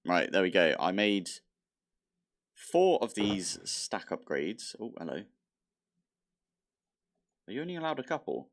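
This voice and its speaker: A man's voice: sits low, very animated, a little slow, pauses often. A man casually commentating his modded block-building game, thinking aloud with mild exasperation, his nose slightly blocked. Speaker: modded Minecraft let's play host.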